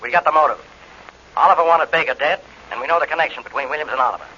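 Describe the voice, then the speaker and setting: A man's voice: on the high side, rapid-fire, bright, forceful tone, slightly rough. A man acting a brash, impatient, cocksure police inspector in a 1940s radio drama. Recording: muffled 1940s radio recording with noise.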